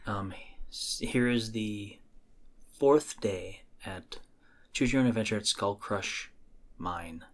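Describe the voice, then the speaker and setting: A man's voice: lowish voice, slow pace. A man chatting casually as a podcast host.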